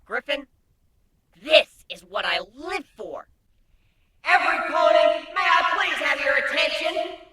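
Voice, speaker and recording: A man voicing a theatrical male changeling showman in a story reading, with loud, drawn-out proclamations and a roomy echo. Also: very high voice, expressive, far from the mic, pitched above the performer's own voice, heavily acted. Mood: excited, booming.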